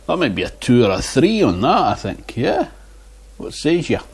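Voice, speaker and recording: A man's voice: mid-range voice, very animated, normal speed, slightly rough. A man reviewing beer in a casual vlog, chatting and muttering asides to himself on a lapel mic. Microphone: wireless lapel mic.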